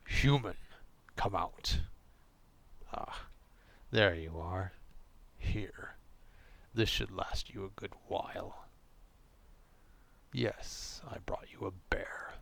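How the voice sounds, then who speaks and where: A man acting out a gruff, proud, distrustful werewolf in an ASMR roleplay, stern and curt but grudgingly caring, in a gravelly half-whisper. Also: sits low, extremely slow, long pauses, a bit bright, heavily acted.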